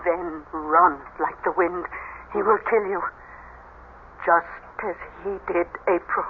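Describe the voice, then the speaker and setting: A woman playing an embittered, grieving older mother in a radio drama, speaking in a halting, ominous way. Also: on the low side, steady tone. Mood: urgent warning. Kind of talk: 1970s radio drama acting. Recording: noisy, phone-like old radio broadcast.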